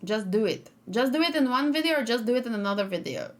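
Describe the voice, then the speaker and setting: A woman's voice: on the high side, animated, quick pace. A young woman chatting casually on a live stream, thinking aloud and reading out chat messages as she reacts to game trailers.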